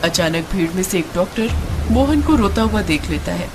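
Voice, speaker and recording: A woman's voice: on the low side, expressive, normal speed, music in the background. A woman narrator reading a scripted story for a cartoon.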